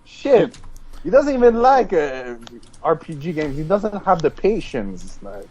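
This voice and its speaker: A man's voice: fairly high pitch, big pitch swings, measured pace, warm tone. Rambling man on a muffled call. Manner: loose and rambling, swears freely. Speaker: call-in guest. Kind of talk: casual podcast chat.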